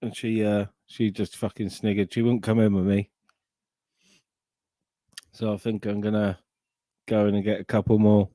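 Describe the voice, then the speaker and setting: A man's voice: on the low side, little pitch movement, normal speed, long pauses, dark tone, no room sound. A middle-aged man from Sussex with an English accent, chatting casually and swearing on a live stream into a desk microphone. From England. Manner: sweary, rambling banter. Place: home, live stream call.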